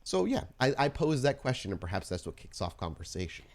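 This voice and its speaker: A man improvising tabletop game narration as game master, descriptive and somewhat halting, addressing the players directly. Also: medium pitch, sing-song.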